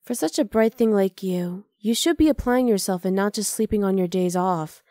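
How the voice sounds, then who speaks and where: A woman's voice: medium pitch, moderate pace, warm tone, no room sound, close to an everyday voice. Close, dry, teasing half-dragon girlfriend voiced by a woman. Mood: coaxing, teasing. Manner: casual, coaxing, affectionate teasing. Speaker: ASMR roleplay voice actor. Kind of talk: ASMR roleplay acting.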